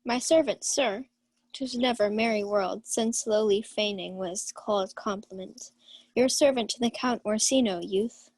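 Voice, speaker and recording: A woman's voice: medium pitch, measured pace, a little mellow, dry recording. A woman playing a proud, lovestruck young lady of the house in a Shakespeare radio play, formal and declamatory. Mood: haughty. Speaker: student actor.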